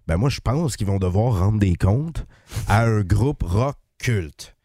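A man's voice: lowish voice, lively intonation, slow, mellow, dry recording, clean studio sound. A man with a Quebec French accent chatting casually and animatedly as a morning radio co-host. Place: dry radio studio.

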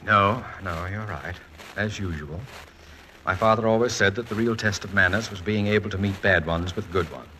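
An American actor playing a witty, proud, fretful middle-aged college president and husband in a wry, articulate 1940s radio comedy-drama style. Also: male voice, low voice, normal speed, bright, forceful tone. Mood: indignant. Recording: noisy 1940s radio recording.

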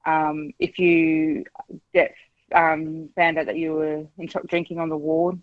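A woman's voice: deep voice, level tone, unhurried, dry recording, narrow, tinny audio. A woman, calling a radio show and speaking frankly, with many hesitations. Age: 40s. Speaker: caller. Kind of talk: candid phone-in chat.

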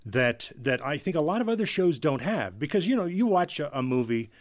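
A man's voice: fairly high pitch, sing-song, fairly quick. A man chatting casually and enthusiastically as a panelist, explaining things as he goes. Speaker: podcast panelist.

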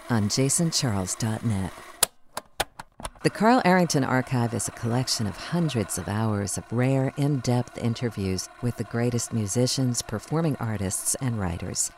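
A man's voice: mid-range voice, a little mellow, a little hiss or hum. A man reading a scripted podcast announcement. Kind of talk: scripted narration.

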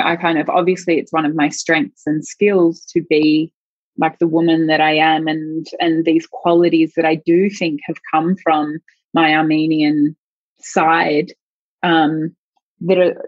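A woman's voice: deep voice, no echo. A woman speaking as a sexologist guest in an interview, reflective and searching, thinking aloud in long winding sentences. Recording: dull lo-fi dry sound.

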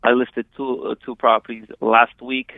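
A man's voice: medium pitch, little pitch movement, moderate pace, no room sound. A man from Poland with a Polish accent, calling in to a coaching show and talking casually with fillers and restarts. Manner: hesitant, lots of fillers and restarts. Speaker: caller, real estate agent. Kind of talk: casual phone call. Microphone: phone. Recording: tinny phone line.

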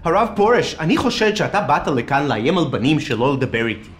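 A man plays a confrontational rabbi who pushes back hard and stammers when cornered. His voice is high and animated throughout. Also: natural voice. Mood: threatening. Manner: challenging, stammering when uneasy. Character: indignant male rabbi, confrontational.

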